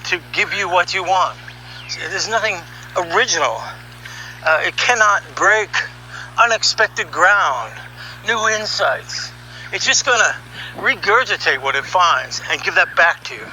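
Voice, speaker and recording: A man's voice: high-pitched, sharp tone, slight background noise, low-quality recording. A middle-aged man telling a first-person story conversationally, reflective and emphatic, repeating phrases to make his point. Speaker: narrator, voiceover producer.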